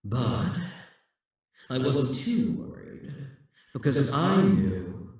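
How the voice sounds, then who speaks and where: A man voicing a possessive, scheming male demon king who speaks in a slow, mocking, gloating way. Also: medium pitch, very animated, a little mellow, heavy reverb, distant mic. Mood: taunting. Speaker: audio roleplay performer. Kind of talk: scripted audio roleplay.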